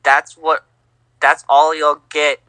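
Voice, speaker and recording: A young man co-hosting a casual sports podcast, teasing and lively, on a home mic. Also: on the high side, slow pace, dry recording, soft background music. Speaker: podcast co-host.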